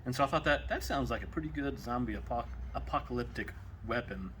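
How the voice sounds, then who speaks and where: A man's voice: medium pitch, quick pace, a bit bright, a little hiss or hum. A young man with a Texas accent chatting casually in a home workshop, joking and rambling as he explains a build. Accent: Texan. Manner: joking, rambling, self-deprecating. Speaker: maker building a weapon.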